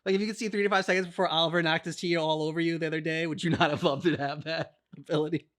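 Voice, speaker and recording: A man chatting casually as co-host of a reaction channel, thinking out loud in a rambling way peppered with "like". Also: fairly high pitch, fairly even intonation, very fast. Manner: rambling, speculative, heavy use of "like". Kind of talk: casual chat, reacting to game cutscenes.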